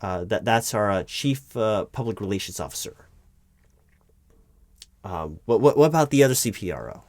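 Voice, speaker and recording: A low-pitched male voice, recorded close and dry, a man playing a flustered recruiting manager in an audio roleplay. He stammers and hesitates, staying awkwardly polite and deferential as he works through a one-sided job interview. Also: animated, moderate pace, pauses often, a little mellow, dry recording. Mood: puzzled, hesitant. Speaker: roleplay performer.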